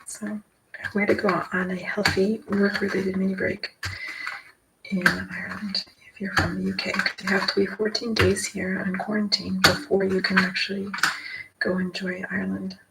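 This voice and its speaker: A woman's voice: medium pitch, monotone, moderate pace, gravelly. An American woman chatting casually and rambling, asking and answering her own questions as if talking to herself. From United States. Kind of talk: rambling casual solo chat while playing cards. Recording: very noisy recording with clicks.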